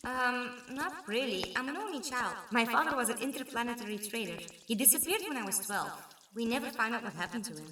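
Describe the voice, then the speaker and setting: Woman as a bright, high-voiced kind companion. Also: expressive, fairly quick, hardly any pauses. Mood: wistful. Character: kind, concerned female companion to a researcher. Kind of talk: audio sci-fi drama acting. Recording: echoey, distant, faint noise.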